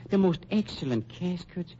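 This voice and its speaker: An actor playing an unctuous, scheming funeral director in an old-time radio drama, over-polite and mock-hurt in prim, drawn-out phrases. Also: male voice, high-pitched, expressive, mellow. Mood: oily, accusing. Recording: muffled, noisy old radio recording.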